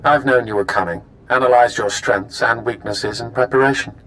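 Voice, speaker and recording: This is a cold, calculating intelligence agent from a video game, voiced by a man. He speaks formally and with measured, smug confidence, over faint background noise. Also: medium pitch, steady tone, fairly bright tone, close to an everyday voice, rough recording. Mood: smug, confident. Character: cool, calculating male imperial intelligence agent. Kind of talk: scripted video game dialogue.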